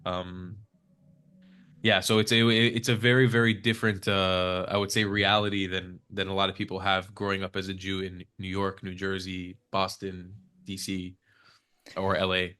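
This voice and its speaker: Young man, Hebrew first language, lowish voice, loose rambling guest chatter. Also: little pitch movement, moderate pace, pushed and bright, clean tone. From United States. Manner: rambling, many fillers and restarts. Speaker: podcast guest. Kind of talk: casual conversational interview. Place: Israel.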